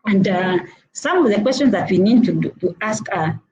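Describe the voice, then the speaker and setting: A woman's voice: medium pitch, expressive, moderate pace, darkish tone, rough recording. A middle-aged woman with a Kenyan accent giving an earnest talk on networking, repeating her points with frequent hesitations. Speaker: motivational speaker. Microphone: video call.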